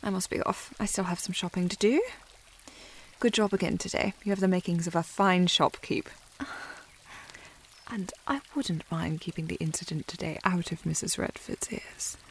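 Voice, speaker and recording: A woman playing a proud, easily flustered young aristocratic lady in a historical ASMR romance, breathy and refined, often dropping to a whisper. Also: on the low side, steady tone, normal speed, slight background noise, lightly acted. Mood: haughty, composed. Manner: haughty, refined, hesitant when flustered.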